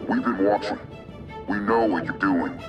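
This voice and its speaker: Anonymous hacker collective voice , male actor: solemn pronouncements, low register, set back behind music. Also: fairly even intonation, a little slow, highs cut off, close to an everyday voice. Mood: solemn, ominous. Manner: ominous, declarative. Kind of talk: video game dialogue. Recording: distant voice over music.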